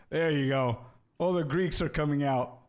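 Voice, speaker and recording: Laid-back man chatting on a game stream. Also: fairly high pitch. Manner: laid-back, calls viewers bro and man, stumbles and repeats words. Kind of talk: casual live-stream chat, answering viewers.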